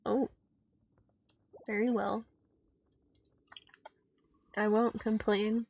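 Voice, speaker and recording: A woman giving casual solo commentary on a game stream in a playful, dryly self-deprecating, halting way. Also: medium pitch, level tone, creaky, a little mellow, breathy edge. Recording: dull lo-fi mic with faint noise and clicks.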